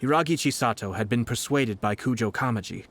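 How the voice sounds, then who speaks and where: A man voicing a calm, shrewd young nobleman in a video game who speaks in a measured, analytical way. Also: medium pitch. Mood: composed. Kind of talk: game voice acting. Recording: game audio over loud background music.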